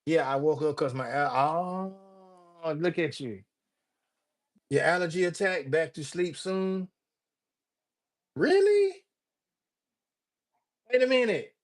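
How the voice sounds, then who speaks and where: A man's voice: fairly high pitch, big pitch swings, slow pace, pauses often. An older man hosting a casual livestream, rambling and joking as he greets viewers by name. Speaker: livestream host. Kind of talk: casual livestream chat reading viewer comments.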